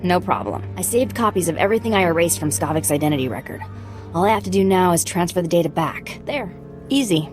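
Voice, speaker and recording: A woman's voice: on the low side, lively intonation, fast talker, soft background music, lightly acted. A woman playing a wry, sardonic captive computer hacker desperate to get free in a video game. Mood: wry, eager. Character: captive young female slicer, wry and desperate to escape. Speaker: voice actor. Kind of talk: video game dialogue acting.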